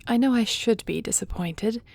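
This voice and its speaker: A woman playing a dutiful, gracious dragon-shifter queen in a fantasy audio roleplay, speaking in formal, deliberate phrases. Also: on the high side, vocal fry, darkish tone, dry recording. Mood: reflective.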